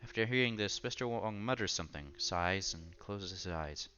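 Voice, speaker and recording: A man's voice: deep voice, moderate pace, bright, forceful tone, lo-fi sound. A man reading a visual novel aloud in a casual let's-play style, sometimes stumbling over words and repeating them. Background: game music.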